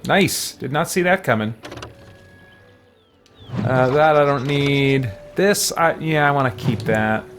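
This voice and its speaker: A man's voice: mid-range voice, slow, pauses often. Middle aged guy muttering to himself while gaming, hesitant, music playing behind him. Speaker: game streamer. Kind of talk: casual gameplay commentary, thinking aloud.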